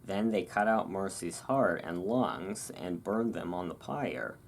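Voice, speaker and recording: A host giving a relaxing ASMR reading of a historical article, with relaxed, informal delivery. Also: sits low, takes it slowly, gravelly, slight background noise.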